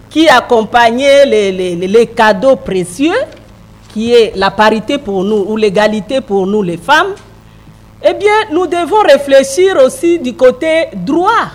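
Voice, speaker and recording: Slow, earnest woman at a debate. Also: high-pitched. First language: a Congolese language. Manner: earnest, emphatic, with hesitant restarts. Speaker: panel speaker. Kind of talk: public debate remarks.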